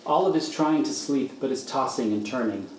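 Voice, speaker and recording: Echoey male narrator, measured delivery. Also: mid-range voice, animated, a little mellow. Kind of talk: scripted narration of stage directions. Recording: echoey room, faint noise.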